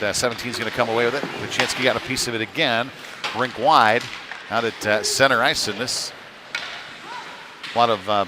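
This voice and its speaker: A man doing live hockey play-by-play in a loose, conversational way, with hesitant pauses mid-call. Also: medium pitch. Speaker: play-by-play commentator. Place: ice rink. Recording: noisy live rink ambience.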